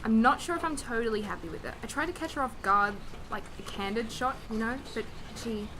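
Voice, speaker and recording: A woman's voice: fairly high pitch, expressive, fairly quick. A young woman playing an insecure eighteen-year-old art student, talking casually and hesitantly into a video diary in a radio drama. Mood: uneasy, critical. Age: young adult. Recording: noisy room ambience on video diary.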